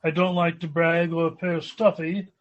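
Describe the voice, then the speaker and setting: A man's voice: on the high side, level tone, moderate pace, dull audio, natural voice. A man playing a polite, stuffy, well-to-do young businessman suitor in a 1940s-style radio comedy. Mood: genial, stuffy. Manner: genteel, hesitant.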